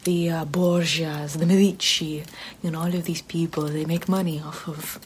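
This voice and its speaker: A woman in an ASMR roleplay voicing a sly, flattering female poison seller in Renaissance Rome, with a soft whispery voice and calm menace, close to the mic. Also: low-pitched, normal speed, close to an everyday voice. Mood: coaxing whisper. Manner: sweet-talking, with darkly calm menace. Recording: steady background hiss.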